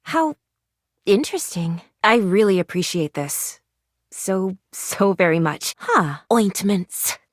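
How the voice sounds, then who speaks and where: Eccentric female medic, game character: lively, swooping delivery, wordy and earnest, very dry close recording. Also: medium pitch, slow, no echo. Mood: earnest, curious, then flustered, delighted. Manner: quirky, precise, wordy, earnestly awkward. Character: eccentric, eager female medical student, a household object come to life. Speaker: video game voice actor. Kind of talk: animated video game character dialogue.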